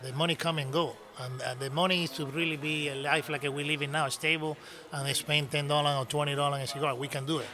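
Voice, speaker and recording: A middle-aged man from Cuba with a Cuban Spanish accent, telling stories in animated broken English as a podcast guest. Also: a little high, fast, a crowd in the background. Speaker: podcast guest, cigar business owner. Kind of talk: casual storytelling conversation.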